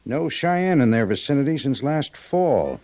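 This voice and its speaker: An actor playing a skeptical frontier army major with an American accent, dry and questioning, in an old-time radio drama. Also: male voice, medium pitch, sing-song, unhurried, a little mellow. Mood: probing. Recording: dull vintage radio recording.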